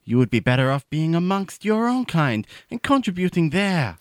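A man's voice: a little high, big pitch swings, moderate pace, no echo, clean studio sound. A man voicing a stern, exasperated pet superhero, declaiming emphatically in a comedic audio drama.

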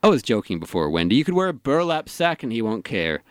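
A man's voice: mid-range voice, very animated, fast, clean tone, no room sound, studio quality, lightly acted. A man playing a lonely, self-mocking middle-aged dungeon master in an audio sitcom, wry in manner. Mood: teasing.

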